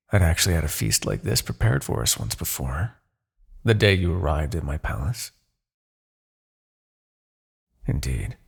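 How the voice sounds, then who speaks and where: A man's voice: sits low, vocal fry, slightly rough, heavily acted. A man playing a smug, possessive demon king in an ASMR roleplay, speaking with haughty, theatrical formality. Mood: smug, indulgent. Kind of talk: ASMR roleplay, dramatic character acting.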